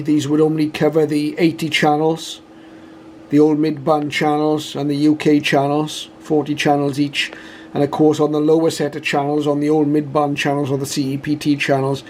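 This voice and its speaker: A man's voice: a little high, darkish tone, a little hiss or hum. A British man giving an informal, matter-of-fact product demonstration, explaining methodically step by step. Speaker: radio reviewer and demonstrator.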